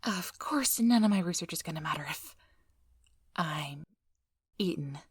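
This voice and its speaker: Woman acting a flustered, animated nerdy researcher. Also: sits low, unhurried, husky, no echo, clean studio sound, heavily acted. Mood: nervous, wry. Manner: flustered, apologetic, rambling, nerdy enthusiasm. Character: nervous, eager, rambling young female monster researcher. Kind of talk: ASMR roleplay audio drama.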